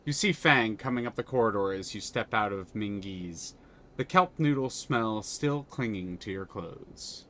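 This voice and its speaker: A man's voice: on the low side, a little slow, bright, forceful tone. A man streaming a story game live, reading narration aloud and thinking aloud between moves, with frequent restarts.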